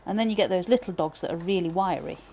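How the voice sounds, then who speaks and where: A woman from Britain, teaching pyrography live, chatting and thinking aloud with many fillers while she works, her voice going a little hoarse. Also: fairly low pitch, fast talker, mellow, a little hiss or hum. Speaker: pyrography instructor. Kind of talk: live tutorial chat while working.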